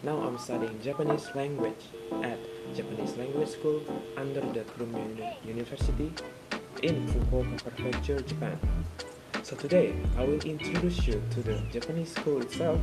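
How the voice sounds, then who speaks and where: A young man from Indonesia, a student giving a friendly, chatty campus tour narration and sometimes pausing to find words. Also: mid-range voice. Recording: loud background music over the voice.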